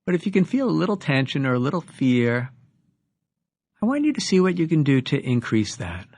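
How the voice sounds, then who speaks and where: A man leading a guided meditation as a teacher, giving instructions with deliberate pauses between phrases. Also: on the high side, sing-song, darkish tone, no room sound.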